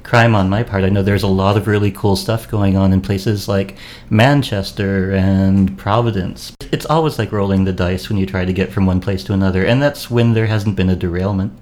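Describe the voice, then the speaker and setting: A man's voice: low-pitched, steady tone, normal speed, darkish tone, a little hiss or hum. A man, an actor guest-hosting a podcast, chatting casually and telling wry self-deprecating stories. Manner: tells wry anecdotes with self-deprecating humor. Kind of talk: casual chat.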